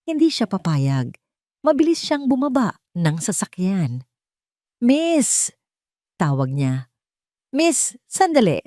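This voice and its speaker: A woman's voice: lowish voice, warm tone, dry recording, clean studio sound. A woman reading a scripted dramatic story as its narrator.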